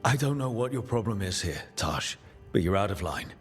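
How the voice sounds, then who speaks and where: Male actor as measured, inquisitive party leader; lowish voice, steady; game cutscene over music. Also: close to an everyday voice. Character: curious adventurer leader, measured and probing. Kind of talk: video game cutscene dialogue.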